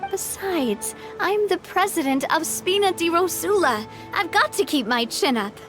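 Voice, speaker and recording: A woman playing a brave, wistful young leader in a video game, speaking in an expressive, measured way. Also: extremely high pitch, exaggerated voice. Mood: reflective, resolute. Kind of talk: scripted game dialogue. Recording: music behind the voice. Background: game music.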